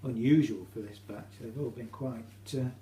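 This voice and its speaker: British male hobbyist demonstrator. Relaxed, dark tone, hesitant informal delivery, faint hum in the recording. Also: mid-range voice. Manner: hesitant, halting, explanatory. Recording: roomy echo, faint hum.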